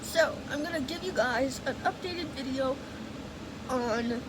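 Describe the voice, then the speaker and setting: A young woman with a high voice and a stuffy, sniffly nose talks slowly and loosely to her viewers, rambling and correcting herself as she goes. She sits in her bedroom, and the steady roar of a fan and air conditioner fills the background. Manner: chatty, rambling, self-correcting. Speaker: vlogger. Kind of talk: casual vlog chat. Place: bedroom at home with AC and fan running. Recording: loud fan and AC noise behind.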